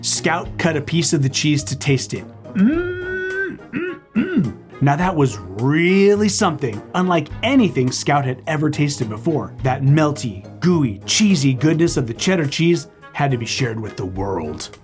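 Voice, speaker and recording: A man co-hosting a children's read-aloud, narrating in a playful, expressive way. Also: a little high, unhurried, faint music behind.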